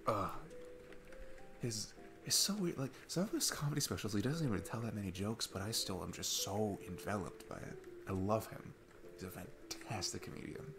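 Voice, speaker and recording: A man playing a sweet, chatty dad on a date in an ASMR roleplay, rambling enthusiastically with halting restarts. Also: lowish voice, animated, background noise. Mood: earnest, admiring. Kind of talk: ASMR audio roleplay, casual rambling talk.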